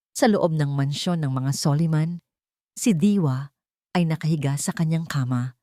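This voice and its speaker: A woman's voice: sits low, dark tone, dry recording, clean studio sound. A woman reading a scripted dramatic story as a storyteller.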